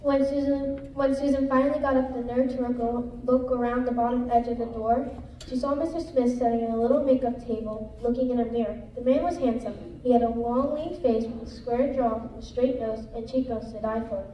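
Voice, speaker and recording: A woman's voice: a little high, steady tone, a little fast, muffled recording. A female student narrator reading a scripted story aloud in a reader's theater show. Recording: echoey room, distant mic, background noise.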